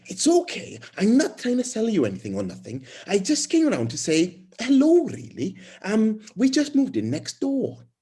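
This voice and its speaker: Man voicing a chatty young mum, pitched up. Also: wildly swooping pitch, moderate pace, few pauses, warm tone, breathy edge, heavily acted. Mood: friendly, reassuring. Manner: rambling, hesitant, friendly chatter. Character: chatty, friendly young mother, eager new neighbour. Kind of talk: audiobook character dialogue.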